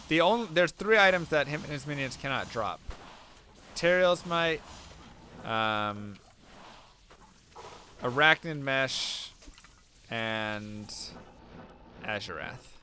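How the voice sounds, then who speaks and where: A man's voice: mid-range voice, animated, takes it slowly, long pauses, sharp tone, slight background noise. A young man streaming a video game, casually chatting and answering viewers' questions aloud, saying he is losing his voice. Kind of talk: casual chat while playing, answering viewer chat.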